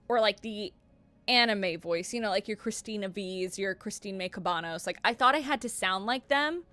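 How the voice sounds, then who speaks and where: Young woman, voice-actor streamer, mid-low voice with a bright edge, dry close sound, animated casual chat. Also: no echo. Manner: animated and chatty, swears freely. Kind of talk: casual stream chat answering viewer questions.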